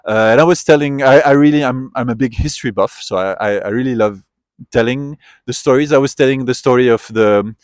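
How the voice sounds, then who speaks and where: Man, interviewee, mid-pitched, reflective and halting, dry close mic. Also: no echo. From Switzerland. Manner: thoughtful, reflective, trailing off and restarting. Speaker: interviewee, tourist. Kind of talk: casual street interview. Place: outdoors at a memorial site.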